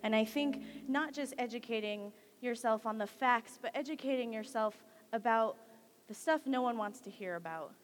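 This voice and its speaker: Young woman, from California, guest speaker, earnest and measured, slightly high voice, echoing hall. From Central Valley, California, United States. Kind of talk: speech at a campus assembly. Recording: long hall reverb.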